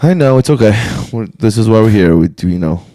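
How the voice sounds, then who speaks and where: A man's voice: fairly low pitch, expressive, brisk, dark tone, dry recording, clean studio sound. A man with a Southern accent hosting a podcast, blunt and opinionated, often cutting in over the others. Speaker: podcast host. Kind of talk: casual podcast chat.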